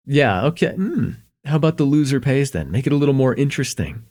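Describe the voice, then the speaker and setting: A man playing a shy, smitten young man in a slice-of-life ASMR roleplay, speaking in a halting, conversational way with nervous stammers. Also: mid-range voice, a little mellow, lightly acted. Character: shy, smitten young man confessing a crush, teasing, tender.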